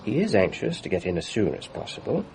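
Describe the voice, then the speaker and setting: A courteous, fussily precise male family solicitor in a radio drama. His lowish voice swoops widely in pitch as he speaks formally and deferentially. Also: wildly swooping pitch, fast, darkish tone, slight background noise, poor audio. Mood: businesslike, courteous. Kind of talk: radio mystery drama acting.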